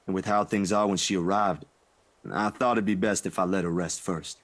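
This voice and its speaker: Visual novel friend: young, caring and easygoing, slightly low voice, colloquial and halting delivery. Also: male voice, fairly even intonation. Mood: earnest, apologetic. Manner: casual, colloquial, hesitant. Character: laid-back, caring young man, worried for his friend.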